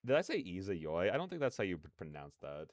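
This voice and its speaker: A man hosting a casual reaction livestream, rambling in chatty, slangy speech with an animated, self-deprecating manner. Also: deep voice, fast talker, dry recording, studio quality. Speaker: livestream host.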